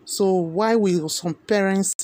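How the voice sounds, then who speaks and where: A man's voice: high voice, takes it slowly, darkish tone. A man with a Nigerian accent giving earnest opinionated commentary, repeating himself with many fillers. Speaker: video commentator.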